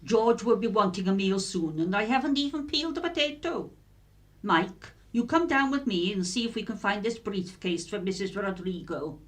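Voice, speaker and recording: A woman playing a brisk, practical housewife in a radio drama. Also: mid-range voice, lively intonation, close to an everyday voice.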